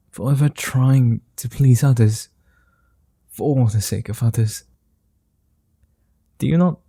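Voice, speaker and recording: Man doing a slow, whispering vampire seducer. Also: medium pitch, gravelly, slightly rough, dry recording, exaggerated voice. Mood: persuasive. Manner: slow, soft and often whispered; old-fashioned formal speech. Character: flirty, tender male vampire seducer. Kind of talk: ASMR roleplay. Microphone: close mic.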